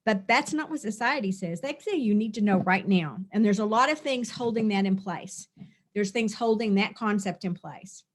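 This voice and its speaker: A woman's voice: medium pitch, lively intonation, moderate pace. A middle-aged woman, a spiritual teacher, talking earnestly through a release session, often repeating and listing phrases.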